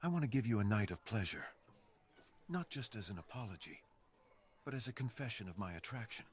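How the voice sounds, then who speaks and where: A brooding fox assassin, acted out by a man speaks in a fairly low voice for an ASMR roleplay. He is intimate and flirtatious, leaving dramatic pauses as he bares his feelings with earnest, seductive intent. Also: animated, natural voice. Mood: earnest, tender. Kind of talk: ASMR roleplay, slow intimate scripted monologue.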